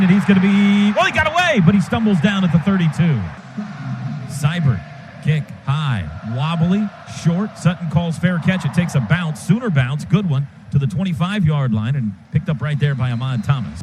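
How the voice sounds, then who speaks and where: A man doing live play-by-play radio commentary of a football game from a stadium booth, in rapid clipped calls that surge on big plays. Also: fairly high pitch, animated. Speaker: play-by-play announcer. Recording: noisy live stadium broadcast.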